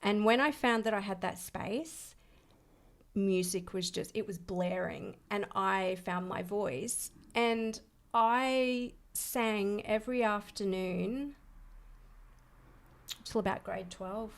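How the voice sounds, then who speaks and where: A woman's voice: medium pitch, slow pace. A woman with an Australian accent, a songwriting coach speaking reflectively with many fillers and restarts in a casual interview. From Scotland.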